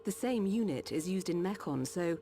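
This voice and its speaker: An actress playing a calm, dutiful village doctor of a machine race in a video game cutscene, over music. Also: female voice, on the low side, moderate pace. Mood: steady, reassuring.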